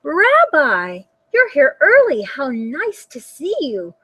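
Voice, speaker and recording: A woman's voice: high voice, wildly swooping pitch, slow, bright, forceful tone, no room sound, pitched above the performer's own voice, exaggerated voice. A woman voicing a sweet, frail, very old woman who is hard of hearing, cheerfully fussing over a guest in a storybook. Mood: cheery. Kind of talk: storybook character voice.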